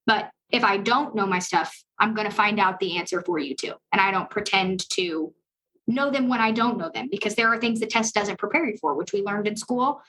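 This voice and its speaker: A young woman with a high-pitched voice talking in an upbeat, earnest and chatty way as a guest on a podcast. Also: brisk, a bit bright, low-quality recording. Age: young adult. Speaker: loan officer guest. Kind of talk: casual podcast conversation.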